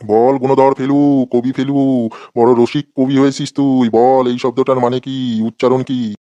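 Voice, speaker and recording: A man's voice: medium pitch, little pitch movement, measured pace, dark tone, no room sound, muffled recording, lightly acted. A man playing an irritable, sarcastic schoolteacher who threatens his pupils in a comedy cartoon. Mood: angry, sarcastic. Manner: scolding, sarcastic. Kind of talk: exaggerated comic cartoon dialogue.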